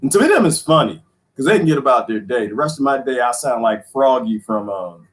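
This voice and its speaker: A man hosting a casual livestream, rambling and joking with viewers, laughing often, his voice going hoarse. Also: mid-range voice, lively intonation, fairly quick. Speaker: livestream host.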